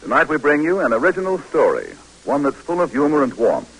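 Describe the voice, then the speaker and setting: A man's voice: a little high, big pitch swings, fairly quick, loud music behind the voice, low-quality recording. A man announcing formally and emphatically from a script, in the style of 1940s radio. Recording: old radio recording.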